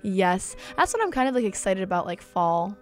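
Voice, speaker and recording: A young woman whose first language is Polish, hosting a casual college radio talk show with a bubbly, chatty and animated way of telling stories. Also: mid-range voice, normal speed, faint music behind. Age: young adult. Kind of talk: casual chat.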